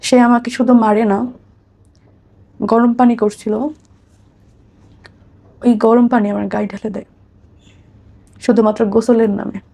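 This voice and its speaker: A woman's voice: on the high side, little pitch movement, measured pace, long pauses, mellow. A woman with a Bangladeshi accent giving personal testimony as an interview guest, repeating phrases and addressing the host respectfully. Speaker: guest telling her life story.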